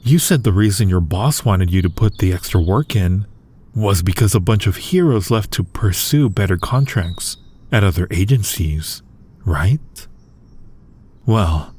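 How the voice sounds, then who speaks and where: A low, husky male actor's take on a supervillain, smug but secretly protective. He talks intimately and conversationally, as in an ASMR audio roleplay, coaxing and teasing his nemesis with drawn-out words. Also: darkish tone, full character voice. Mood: persuasive, teasing.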